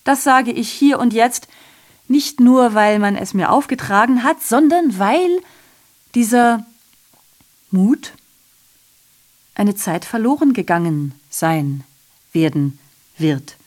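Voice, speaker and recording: An actress playing an ironic, rambling messenger in a radio drama monologue, sardonic, declamatory and interrupting herself. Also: female voice, fairly high pitch, animated, slow, long pauses, a little mellow. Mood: proud, declamatory. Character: ironic, rambling female messenger addressing the audience.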